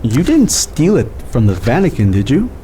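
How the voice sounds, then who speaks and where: A man playing an excitable, argumentative skeptic in an audio drama, stammering and exasperated in an informal way. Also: mid-range voice, moderate pace, a little hiss or hum. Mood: skeptical, incredulous.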